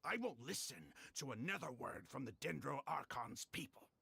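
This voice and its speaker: A proud, hostile desert mercenary leader in a video game. His voice is gravelly and husky yet bright, moving widely in pitch. He sneers at his enemies and broods over his faith. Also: lively intonation, moderate pace, exaggerated voice. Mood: scornful. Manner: scornful, gravelly, dramatic.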